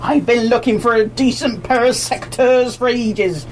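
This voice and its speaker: A woman playing a practical, caring householder in an amateur radio play, expressive and matter-of-fact. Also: fairly high pitch, normal speed, lightly acted. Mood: surprised. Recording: noisy live amateur radio broadcast recording.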